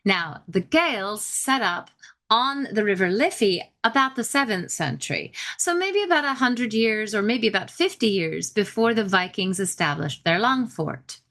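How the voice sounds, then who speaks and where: A woman's voice: mid-range voice, big pitch swings, moderate pace, fairly bright tone. A woman podcast host giving an informal history lecture in a chatty, explanatory way with wry asides.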